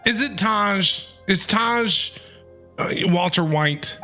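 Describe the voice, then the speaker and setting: A man's voice: high voice, slow pace, bright, forceful tone. A man giving casual, reactive live commentary as a game streamer, with slangy remarks. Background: faint game music.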